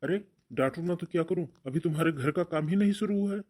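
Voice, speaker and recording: A man's voice: fairly high pitch, quick pace, a little mellow, lightly acted. A man playing a stern, domineering older brother in a cartoon family melodrama, scolding and giving orders. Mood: angry, commanding. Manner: scolding, commanding.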